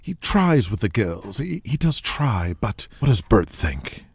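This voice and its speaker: Man acting a weary, discreet, low-voiced fixer. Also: sing-song, a little slow, gravelly, husky, dry recording, exaggerated voice. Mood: pained, protective. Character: weary, discreet male fixer, protective and formal. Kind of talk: audio drama acting. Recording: music behind the voice.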